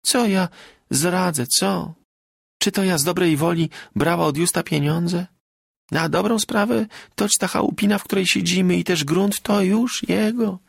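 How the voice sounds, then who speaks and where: A man's voice: high-pitched, measured pace, gravelly, slightly rough, dry recording, clean studio sound, voice pushed up, heavily acted. A man reading an audiobook, voicing a kind-hearted, bossy peasant wife who talks garrulously and breathlessly in rustic dialect. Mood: anxious lament. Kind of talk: literary audiobook character voice.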